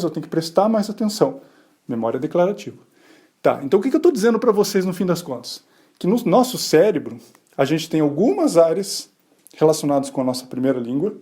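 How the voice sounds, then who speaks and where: Male podcast host, relaxed mellow tone, slightly higher voice, chatty explainer who answers his own rhetorical questions. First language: Portuguese. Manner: tag questions and fillers. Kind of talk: casual science explainer, speaking to listeners.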